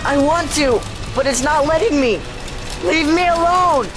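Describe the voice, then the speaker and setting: High pitched scared kid played by a woman, pleading, something alien taking him over, audio drama with music behind. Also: a little slow. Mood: desperate, warning. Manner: pleading, distressed. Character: frightened young boy possessed by an alien presence.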